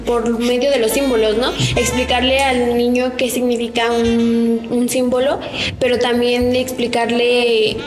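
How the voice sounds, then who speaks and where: A woman's voice: a little high, flat delivery, measured pace. A woman in a casual group podcast, explaining her points with many fillers. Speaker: podcast panelist. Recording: echoey room with music behind.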